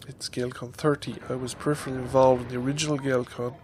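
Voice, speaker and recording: A man's voice: mid-range voice, level tone, fast talker, darkish tone, slight background noise. A man in his fifties with a stuffy nose from a head cold, rambling hesitantly with many ums in a casual vlog update at his desk microphone. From Ireland. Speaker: YouTuber. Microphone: desk microphone on a stand.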